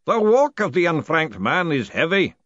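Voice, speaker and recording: A man puts on a storybook master of a secret initiation. The voice booms out slow and solemn, pitched up and grandly swelling, with pompous command. The sound is slightly dull. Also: sing-song, pushed and bright, no room sound, full character voice. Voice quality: booming. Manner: slow, solemn ritual intoning.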